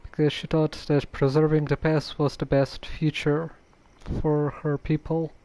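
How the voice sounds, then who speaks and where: A woman streamer giving casual, halting, deliberate commentary on a game. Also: low-pitched, little pitch movement, warm tone, a little hiss or hum, low-quality recording.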